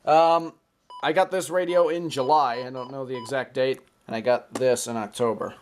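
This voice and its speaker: A man giving an informal, excitable show-and-tell commentary, often interjecting as he goes. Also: medium pitch, normal speed. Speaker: video host. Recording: clearly noisy home recording.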